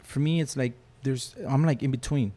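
A man with a middle-pitched voice tells rambling stories as a podcast guest. Spanish is his first language, and he slips into quick impressions of the people he describes. The talk is loose and casual. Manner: animated storyteller who acts out other people's voices. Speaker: podcast guest, rock photographer. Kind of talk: casual chat.

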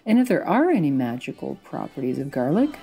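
A woman's voice: extremely low pitch, big pitch swings, brisk, creaky, dark tone, faint music behind. A middle-aged woman whose first language is Romanian, reading a wry personal story. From Canada. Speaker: listener contributor.

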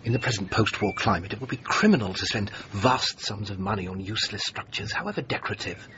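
A man's voice: fairly low pitch, quick pace, sharp tone. An actor playing a prim, thrifty male gallery official, speaking formally and pedantically in a radio drama. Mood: formal, disapproving. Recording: slightly muffled radio recording, background noise.

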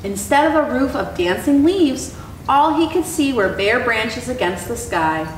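A young woman reading a children's storybook aloud in an expressive, educator's style. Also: on the high side, unhurried, slight background noise. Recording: echoey room, clear background ambience.

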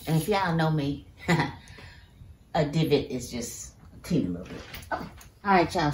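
A middle-aged woman with a low voice walks through each step of a woodworking build in casual, friendly how-to talk. The sound is a bit roomy, as if from a camera mic in a home workshop, with faint ambience behind her. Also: mellow, slight background noise. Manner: chatty, explains each step, says "y'all". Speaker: DIY woodworking creator. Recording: echoey workshop room.

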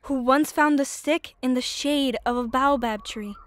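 High-voiced, wry young girl. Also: a little slow, fairly bright tone. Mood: curious, earnest. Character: wry, polite young twin girl on a quest. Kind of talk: audio drama acting.

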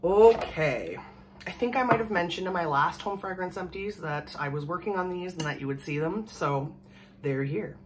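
A woman in her thirties from Canada, hoarse from a cold, chatting casually and rambling to the camera at home. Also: fairly low pitch, moderate pace, slight background noise. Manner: rambling, chatty, laughs often. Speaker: vlogger. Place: small room at home, indoors. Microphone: camera in front at desk distance.

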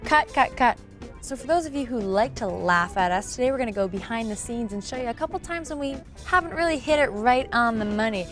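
A woman's voice: a little high, animated, a little fast, a bit bright. A woman in her twenties co-hosting a cooking show, playful and teasing, talking outdoors in a garden. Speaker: co-host. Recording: faint music behind.

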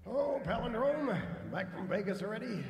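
A man's voice: high voice, animated, moderate pace, gravelly, roomy sound, big-room sound, voice pushed up. A man voicing a chatty, folksy Western bartender in a radio comedy. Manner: folksy, gossipy.

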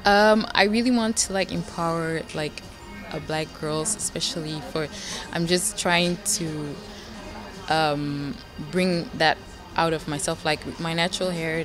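Young woman from belgium, deep voice, lots of likes and ums, music playing behind. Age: young adult. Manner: hesitant, many fillers and "like". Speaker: pageant contestant. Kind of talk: casual interview answers. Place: indoor lounge on a sofa. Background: background music.

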